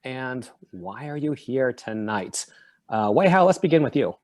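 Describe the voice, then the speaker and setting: A man hosting a live online panel, speaking in an earnest, measured way on a video call. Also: mid-range voice, expressive, darkish tone. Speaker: event host.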